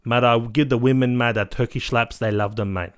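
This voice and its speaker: A man putting on a swaggering self-obsessed ladies' man, brash and pushy, throwing in "mate" constantly, talking at an ordinary middle pitch. He boasts loudly and talks over the other man. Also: steady tone, fairly quick, smooth, lightly acted. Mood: cocky. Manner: brash, cuts in, says "mate" nearly every line. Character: cocky vain male ladies' man, boastful. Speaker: comedic character guest.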